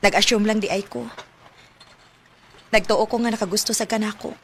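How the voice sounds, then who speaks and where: A woman's voice: medium pitch, steady tone, unhurried, a little hiss or hum, natural voice. A woman with a Cebuano accent acting a frank, forward young woman in love in a radio drama. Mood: frank, tender. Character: frank, forward young woman reunited with her old crush.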